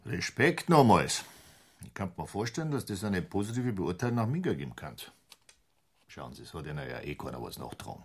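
A low-voiced, condescending Bavarian official from a period radio drama. His delivery swings widely in pitch and is slightly husky. He sounds patronising and very pleased with himself. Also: big pitch swings, fairly quick, exaggerated voice. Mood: smug, jovial. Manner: patronising, sardonic. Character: condescending, self-satisfied male provincial official in 1920s Bavaria. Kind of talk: radio crime drama acting.

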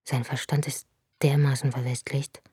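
An actress playing a weary, inward-turned woman writer, narrating her thoughts in a hushed, near-whispered monologue for a radio drama. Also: rumbling low, flat delivery, moderate pace, breathy edge, no echo, studio quality, heavily acted.

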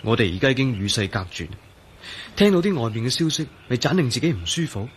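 A woman's voice: a bass voice, sing-song, fast talker, slight background noise. A woman acting a weary, bitter and fearful character in a radio drama, sighing and hesitant, with a Hong Kong Cantonese accent. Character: Wong Yin, weary bitter fearful young woman in hiding.

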